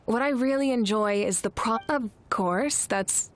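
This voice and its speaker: An actress playing a composed, dutiful young female leader of warriors in a video game, speaking in a measured way. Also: fairly high pitch, a little hiss or hum, lightly acted. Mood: calm, candid.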